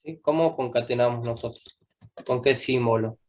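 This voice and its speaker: Young male coding instructor, mid-pitched, patient, constantly checks understanding. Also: normal speed, darkish tone. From Argentina. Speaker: programming teacher. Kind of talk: live coding class.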